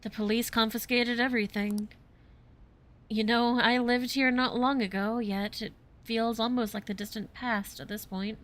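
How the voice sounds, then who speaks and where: An actor playing a refined, earnest young male art student in a video game, speaking in formal, measured phrasing. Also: very high voice, little pitch movement, a bit bright. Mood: solemn.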